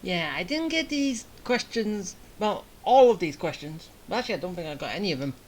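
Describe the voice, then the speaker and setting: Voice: medium pitch, animated, a little fast, a little hiss or hum. A game streamer giving casual, chatty playthrough commentary and reacting to the game.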